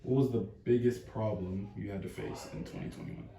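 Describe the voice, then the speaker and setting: Low, mellow young interviewer. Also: male voice, wildly swooping pitch, brisk, vocal fry. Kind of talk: casual friend interview. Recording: roomy, distant mic.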